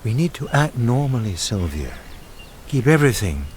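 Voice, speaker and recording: An actor in a radio drama playing a smooth, scheming man who speaks in a measured, controlled way. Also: medium pitch, big pitch swings, slight background noise, lightly acted. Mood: calm, controlled. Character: smooth, scheming male murderer posing as pleasant tour companion.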